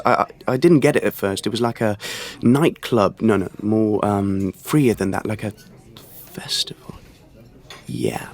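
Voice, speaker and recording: A man's voice: fairly low pitch, natural voice. An actor playing a nervous, hesitant young British man in an audio play, stumbling over his words. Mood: reflective, searching. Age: young adult. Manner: hesitant, stammering, self-interrupting. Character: awkward, nervous young man, eager and self-reproaching. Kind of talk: dramatic monologue and dialogue, audio play.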